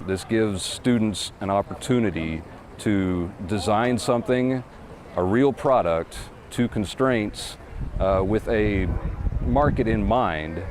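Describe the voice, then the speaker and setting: A man's voice: lowish voice, takes it slowly, darkish tone. A man answering interview questions about a student engineering program, explaining with small repeats.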